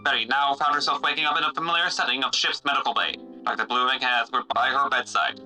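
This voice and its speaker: A man's voice: medium pitch, steady tone, fast talker, bright, forceful tone, soft background music, low-quality recording. A man narrating a scripted sci-fi audio serial as a punchy announcer. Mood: urgent, announcing.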